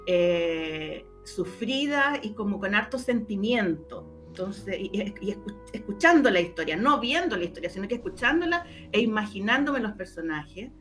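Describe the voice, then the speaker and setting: A woman's voice: medium pitch, big pitch swings, moderate pace, hardly any pauses, a bit bright, soft background music. A middle-aged woman with a Chilean accent from the O'Higgins region, reminiscing casually as an interview guest, often repeating her words as she tells stories.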